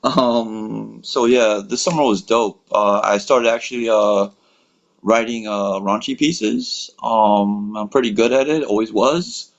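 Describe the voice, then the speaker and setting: An American-born man chatting casually on a video call, rambling with lots of fillers and relaxed slang. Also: on the low side, slow pace, creaky. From United States. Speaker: workshop participant. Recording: dull lo-fi call audio.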